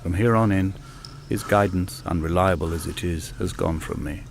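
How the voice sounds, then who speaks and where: An actor playing a lone, grieving castaway, narrating in a measured, solemn voice as a literary game monologue. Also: male voice, sits low, vocal fry, background noise. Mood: pained, resigned. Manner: brooding, measured, solemn, poetic delivery. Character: lone, grieving, injured male castaway narrating his island exile. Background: prominent music.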